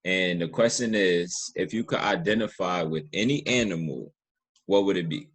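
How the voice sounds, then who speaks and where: A man's voice: deep voice, moderate pace, a bit bright, slightly rough. A man hosting a casual interview in an earnest, appreciative and affirming way. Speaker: interview podcast host.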